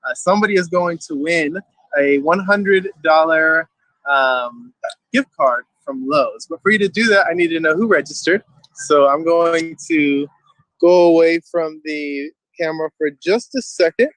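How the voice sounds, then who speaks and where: Lively relaxed garden host. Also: male voice, on the high side, unhurried, no room sound, poor audio. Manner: relaxed, filler-heavy. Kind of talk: casual event hosting. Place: outdoor urban garden. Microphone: phone held at arm's length.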